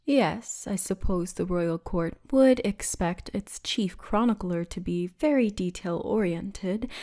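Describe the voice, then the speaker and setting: A woman's voice: mid-range voice, darkish tone, natural voice. A woman playing a big, confident female orc militia officer who teases as she guides, in an ASMR roleplay with formal phrasing. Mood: teasing, playful. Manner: teasing, confident, formal turns of phrase, often breathy murmur.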